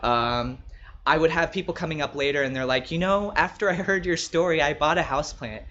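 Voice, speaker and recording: A man who is a writer and podcast co-host, chatting casually in an animated, gushing way. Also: fairly high pitch, fairly quick, highs cut off, a little hiss or hum. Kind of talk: casual chat.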